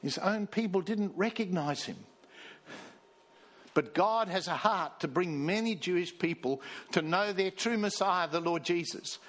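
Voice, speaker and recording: A man with a high-pitched voice delivers a storytelling sermon. He reads quoted passages aloud with emphasis and speaks as the church's minister. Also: fairly bright tone. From Australia. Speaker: pastor.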